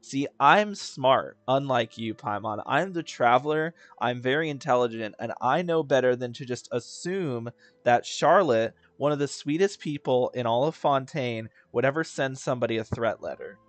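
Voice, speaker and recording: A man's voice: mid-range voice, lively intonation, normal speed, hardly any pauses, no echo. Joking young male streamer. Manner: joking, meme slang, sarcastic. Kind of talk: casual live commentary over a game.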